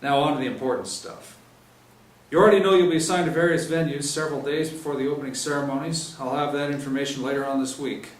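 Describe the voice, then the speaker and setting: A man's voice: a little high, fast, pitched above the performer's own voice, lightly acted. A man voicing a stern, no-nonsense police sergeant giving orders with clipped authority, in an audiobook-style story reading. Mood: stern, commanding. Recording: roomy, distant mic, faint noise.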